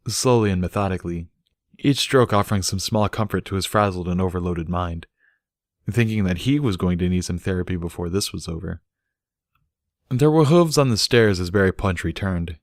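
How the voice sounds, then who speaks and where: A man's voice: sits low, dry recording, studio quality. A man reading a scripted story aloud as an audiobook narrator.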